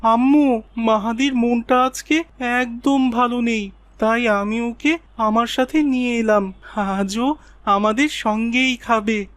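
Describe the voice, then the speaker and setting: A woman's voice: a little high, fairly even intonation, slow pace, dry recording. Woman voicing an earnest schoolboy, close and dry. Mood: concerned. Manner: earnest, reassuring. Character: kind, principled schoolboy, protective friend. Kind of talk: children's moral cartoon dubbing.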